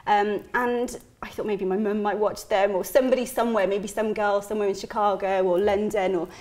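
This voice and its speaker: A woman's voice: mid-range voice, brisk. Chatty Welsh woman on a talk show. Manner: chatty, energetic, emphatic repetitions. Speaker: career mentor guest. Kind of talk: casual interview chat. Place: TV living room studio.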